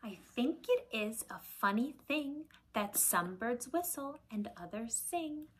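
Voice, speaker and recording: A woman in her thirties reading aloud to children like a teacher, deliberate and expressive, with a breathy voice that often drops to a hush. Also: on the high side. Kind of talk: children's picture-book read-aloud. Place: small indoor room at home with shelves.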